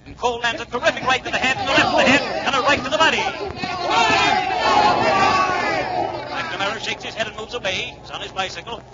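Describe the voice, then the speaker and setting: Rapid-fire high-pitched 1940s boxing announcer. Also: male voice, steady tone. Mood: excited. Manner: rapid-fire, dramatic, emphatic repetition. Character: excited male boxing announcer calling a school fight. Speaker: ringside sports announcer. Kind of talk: 1940s radio blow-by-blow commentary. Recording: muffled, noisy 1940s radio, roaring crowd.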